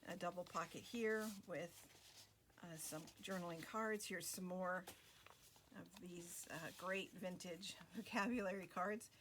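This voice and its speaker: A woman hosting a craft video, talking casually through a flip-through with many fillers and pauses. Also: fairly low pitch, fairly even intonation, a bit bright, a little hiss or hum.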